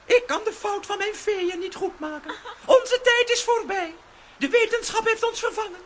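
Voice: extremely high pitch, moderate pace, a little hiss or hum. An actor in a children's radio play voicing a regal, commanding queen of the fairies, solemn and stern. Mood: solemn, regretful.

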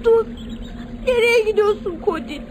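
An actress playing a loyal, tender-hearted young child in a radio play, earnest and emotional. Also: female voice, extremely high pitch, normal speed. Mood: concerned. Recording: noisy radio drama recording.

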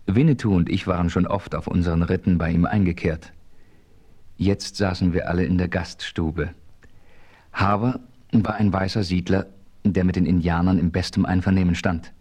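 A man with a low, deep voice narrates an adventure radio play in the first person. His storytelling is calm and measured, and it shifts easily into the hero's dialogue. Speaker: narrator and hero.

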